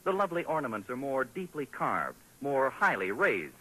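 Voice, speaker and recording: A man's voice: medium pitch, big pitch swings, normal speed, a bit bright, husky, low-quality recording. An American man announcing on a scripted 1940s radio show, with a polished salesman's delivery, heard on a vintage broadcast.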